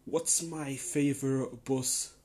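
A man's voice: mid-range voice, fairly even intonation, slow, darkish tone. Young man rambling through a Q&A. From Denmark. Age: young adult. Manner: rambling, lots of "like" and "um", enthusiastic. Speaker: podcast host. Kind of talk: casual solo Q&A chat.

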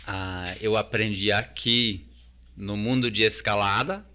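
A man with a fairly low but bright, forward voice, speaking as a talker. He chats casually as an interview guest, hesitant and often restarting with repeated words. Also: fairly even intonation, unhurried, slight background noise. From New Zealand. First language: English. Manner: hesitant, repeats words while searching for Portuguese.

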